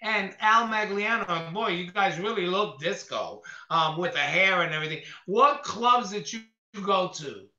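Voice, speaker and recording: A man's voice: high voice, lively intonation, normal speed, hardly any pauses, bright, forceful tone. A man hosting an interview in casual chat, rambling and tripping over his words. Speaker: podcast host. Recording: roomy, dull lo-fi sound.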